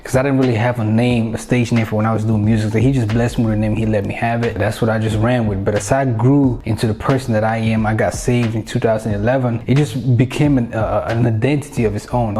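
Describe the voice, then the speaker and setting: A man's voice: mid-range voice, darkish tone, a little hiss or hum. Upbeat man hosting a casual Q&A vlog. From Cameroon. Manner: upbeat, repeats phrases for emphasis. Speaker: YouTube channel host.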